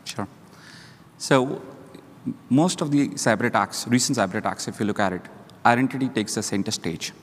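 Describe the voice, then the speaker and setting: A man's voice: medium pitch, normal speed, long pauses. A man on a conference stage giving a structured, list-like talk as a panelist on a lapel mic. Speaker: panelist, security leader. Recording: reverberant hall.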